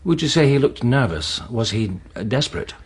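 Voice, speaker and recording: Police inspector, husky voice, very varied intonation, courteous, probing, radio drama. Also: male voice, medium pitch, very animated, normal speed. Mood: polite, probing. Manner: hesitant, courteous questioning. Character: polite, probing male police inspector questioning a widow.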